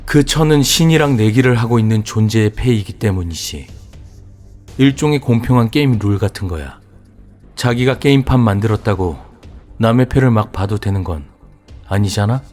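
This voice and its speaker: A man's voice: lowish voice, animated, moderate pace, darkish tone, soft background music, natural voice. A man voicing a mocking mouth that grows on a man's chest, speaking in a taunting, rising and falling singsong in a horror audiobook.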